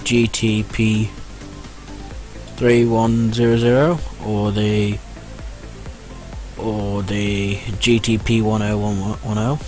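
A man's voice: fairly low pitch, level tone, takes it slowly, pauses often, darkish tone. A man giving a casual, reassuring step-by-step tech tutorial in an explanatory way. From United Kingdom. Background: music.

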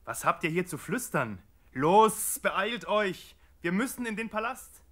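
A man's voice: high-pitched, expressive, measured pace, a bit bright, exaggerated voice. An actor playing a brusque, impatient king's servant giving orders in a biblical radio play. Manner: commanding, impatient. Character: brusque, imperious male servant of a tyrant king. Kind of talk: German radio play acting.

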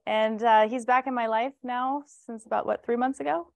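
A woman's voice: fairly high pitch, steady tone, moderate pace, creaky, dry recording, lo-fi sound. A woman in her thirties hosting an event, introducing a colleague with casual, fond praise in front of an audience. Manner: warm praise, casual fillers. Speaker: event host. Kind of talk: introducing a speaker to a live audience. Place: event room with a stage.

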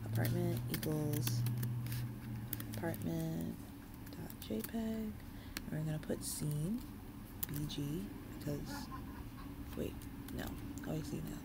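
A woman's voice: low voice, takes it slowly, pauses often, heavy background noise. A woman giving a casual screen-recorded coding tutorial, informal and thinking aloud as she works. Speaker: tutorial host, game developer.

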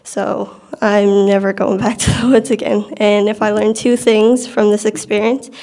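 A woman's voice: mid-range voice, level tone, unhurried, warm tone. A teenage girl tells a personal ghost story in a casual, plain-spoken, slightly hesitant way. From Bell Island, Newfoundland, Canada. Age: teenager, about 14 to 15.

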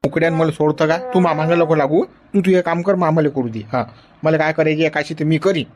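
A man's voice: a little high, steady tone, brisk, music in the background, lightly acted. An actor playing a stubborn, lovesick old grandfather who whines and insists in a Varhadi Marathi accent. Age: older. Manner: whiny, insistent pleading. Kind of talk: comedy sketch acting.

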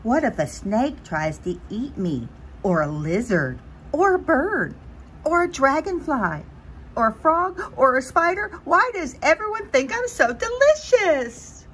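Woman voicing an excitable, anxious little storybook butterfly. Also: high-pitched, measured pace, a little hiss or hum, voice pushed up, full character voice. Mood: panicked, pleading. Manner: whiny, exclamatory, theatrical. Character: anxious, dramatic little male cartoon butterfly, easily panicked. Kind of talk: animated children's picture-book read-aloud.

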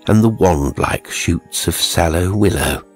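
Deep, slow male storyteller. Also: a little mellow, soft background music. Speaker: narrator. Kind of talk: scripted horror story narration.

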